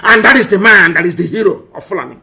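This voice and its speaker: Bright, emphatic Nigerian man, scornful orator. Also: high-pitched, fast talker, creaky. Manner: emphatic, scornful, rhetorical questioning, repeats phrases for effect. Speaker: political broadcaster. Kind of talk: impassioned political monologue commenting on archive audio.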